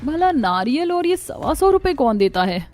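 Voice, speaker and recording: A woman's voice: sits high, very animated, normal speed, a little mellow. Woman playing a haughty, spoiled young rich bride. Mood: annoyed. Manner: disdainful, complaining, sprinkles English words. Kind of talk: Hindi cartoon story voice acting.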